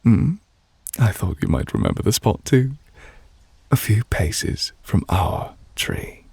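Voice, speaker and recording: A man acts out a soft-spoken male witch, deeply in love and quietly possessive. His voice is hushed and slow, with a gravelly fry at its edges. He speaks with gentle, formal refinement, very close and dry, as in a romantic ASMR audio roleplay. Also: huge pitch swings, no room sound, exaggerated voice. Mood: tender, nostalgic. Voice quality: breathy, hushed. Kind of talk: ASMR romantic roleplay, intimate character acting.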